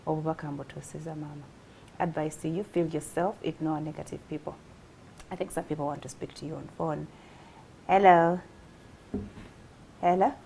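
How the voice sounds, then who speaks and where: A woman's voice: sits low, fairly even intonation, normal speed, gravelly, pauses often, a little mellow, a little hiss or hum, rough recording. A woman with a Ugandan accent hosting a talk show, speaking directly and giving advice. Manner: direct, advising. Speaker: host.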